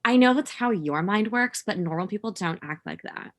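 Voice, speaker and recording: A woman acting as a blunt, sardonic ex-girlfriend around thirty. Her delivery is dry and cutting, with animated intonation at a medium pitch, in a naturalistic comedy read. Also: moderate pace, close to an everyday voice. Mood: scornful.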